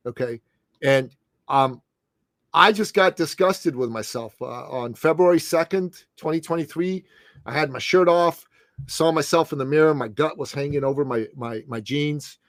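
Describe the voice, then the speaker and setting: A man's voice: a little high, normal speed, no room sound. Emphatic man in his late fifties, dry sound. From Middle East. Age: 50s. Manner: emphatic, punchy, calls host "brother" and "man". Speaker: guest, success coach. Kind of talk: podcast interview.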